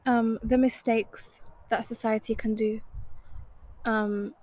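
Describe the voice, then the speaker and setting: A young woman hosts a podcast, talking softly and a little hesitantly, with plenty of ums. Her voice sits fairly high. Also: flat delivery, slow. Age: young adult. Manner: soft-spoken, hesitant with ums. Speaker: podcast host. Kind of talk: casual chat.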